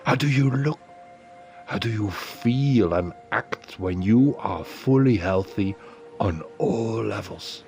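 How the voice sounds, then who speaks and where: A man narrating a guided meditation in a soothing, deliberate way, leaving pauses between phrases. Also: lowish voice, creaky, a little mellow, faint music behind.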